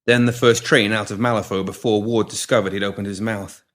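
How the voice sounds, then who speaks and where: A man's voice: lowish voice, steady tone, fairly quick, fairly bright tone. A man reading a scripted story as an audiobook narrator, in descriptive prose. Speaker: story reader.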